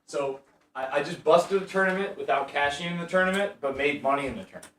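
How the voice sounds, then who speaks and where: A man's voice: fairly high pitch, fairly bright tone, slight background noise. Frustrated man in a distant, roomy pickup. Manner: fast, frustrated storytelling. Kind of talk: casual chat, telling a poker story.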